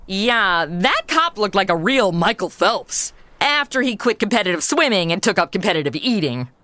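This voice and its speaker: A woman's voice: mid-range voice, sing-song, moderate pace, bright, forceful tone, no room sound. A woman playing a chatty, oblivious, sassy modern young woman in a comedy audio drama, with flippant, slangy delivery. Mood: breezy, boastful.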